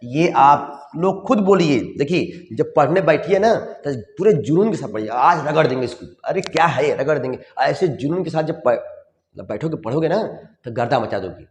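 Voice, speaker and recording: A slightly hoarse man, teaching a lively physics exam revision lesson with folksy coaching and constant tag questions. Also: fairly high pitch, normal speed. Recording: roomy echo, long reverb.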